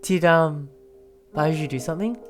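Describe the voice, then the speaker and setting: Low-voiced woman reacting to a game. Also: moderate pace. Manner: emotionally invested, exclamatory. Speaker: gameplay streamer. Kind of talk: casual live reaction commentary. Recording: game music behind the voice.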